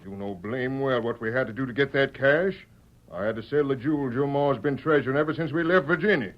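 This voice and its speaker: A man's voice: mid-range voice, a little fast, lightly acted. A man playing a stern, worried frontier father in an old radio western, questioning sharply in rustic speech. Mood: angry, exasperated. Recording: dull vintage radio recording.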